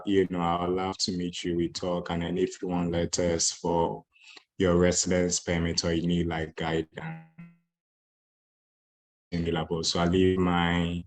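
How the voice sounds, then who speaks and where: A man giving a relaxed talk with many fillers, speaking on a video call. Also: low voice. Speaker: conference speaker on moving to Ghana. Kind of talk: casual talk.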